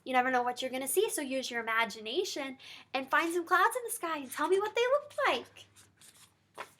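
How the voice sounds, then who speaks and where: A woman's voice: high-pitched, moderate pace. A woman, a pediatric therapist, reading a picture book aloud to young children and talking directly to them. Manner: animated, talks directly to young listeners.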